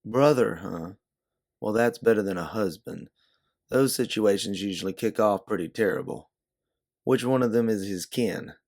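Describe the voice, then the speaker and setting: A man's voice: on the low side, normal speed, darkish tone. A man voicing a blunt, watchful, folksy doorman in a story, talking plainly and protectively. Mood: gruff, frank. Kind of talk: second-person storybook narration, character dialogue.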